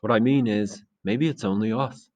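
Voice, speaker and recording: A man's voice: on the low side, normal speed, a little mellow, recorded from a distance, voice pushed up, lightly acted. A man voicing a shy, thoughtful young boy in a storybook, hesitant and groping for words.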